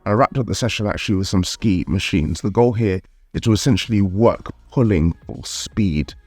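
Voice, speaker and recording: A man's voice: lowish voice, normal speed, a little mellow, no room sound, faint music behind. A man narrating his calisthenics vlog in a candid, upbeat way, sounding a little nasal from a cold. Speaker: calisthenics vlogger.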